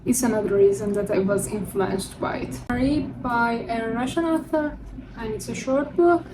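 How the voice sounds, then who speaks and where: A woman's voice: a little high, normal speed. A young woman whose first language is not English, chatting upbeat in a casual vlog as she presents her books one by one. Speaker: book vlogger. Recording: distant mic with construction noise. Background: construction noise from a neighbor.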